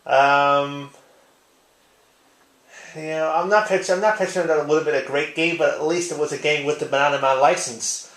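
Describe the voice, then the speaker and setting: A man talks casually to his viewers in an echoey living room. His pitch sits in the middle range, and his manner is informal and blunt, like someone recording a home vlog. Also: normal speed, a bit bright. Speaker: video blogger. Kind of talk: casual tag-response vlog chat.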